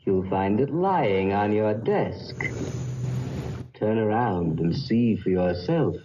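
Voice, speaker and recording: A slow taunting vengeful ghost. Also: male voice, fairly low pitch, noisy background. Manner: slow, taunting, menacing calm. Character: vengeful ghost of a murdered man. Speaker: Philip Blake, playwright. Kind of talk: 1940s radio acting. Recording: lo-fi old radio recording with music.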